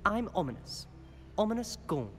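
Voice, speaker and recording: A man's voice: high-pitched, sing-song, normal speed, faint music behind. A man playing a polite, dry teenage aristocratic wizard student in a video game, courteous and wry. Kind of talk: video game cutscene acting.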